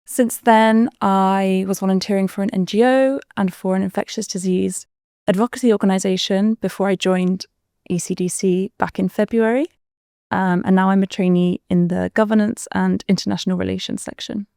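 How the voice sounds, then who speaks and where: A woman in her twenties from Spain, answering interview questions in a relaxed, chatty way with the odd um. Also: mid-range voice, normal speed, creaky, clean tone, no echo, clean studio sound. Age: 20s. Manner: relaxed, chatty with frequent ums. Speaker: guest.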